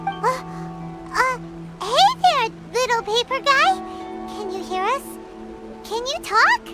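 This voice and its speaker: Squeaky, very high childlike fairy. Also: female voice, sing-song, slow, sharp tone, full character voice. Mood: hesitant. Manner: brash, speaks of herself in third person. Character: tiny bossy childlike floating fairy guide, female, excitable. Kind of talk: animated game character acting. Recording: music behind the voice.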